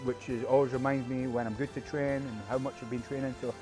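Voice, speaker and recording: A man in his late forties talking candidly about fitness in an interview, relaxed and full of fillers. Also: mid-range voice, quick pace, faint music behind. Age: 40s. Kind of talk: interview sound bites.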